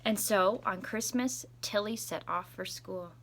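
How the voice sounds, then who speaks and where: A woman teacher reading a story aloud to children with expressive, deliberate phrasing. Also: mid-range voice, noisy background.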